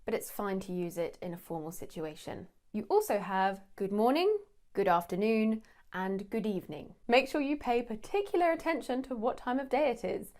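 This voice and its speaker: A young Englishwoman with an English accent, teaching an English lesson in a clear, measured way with light self-deprecating humour. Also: medium pitch, a little mellow. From England.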